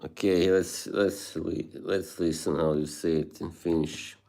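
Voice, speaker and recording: A man's voice: low voice, steady tone, unhurried, gravelly, warm tone. A man with an Eastern European accent hosting a casual livestream, chatting with viewers, laughing often and swearing casually. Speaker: livestream host.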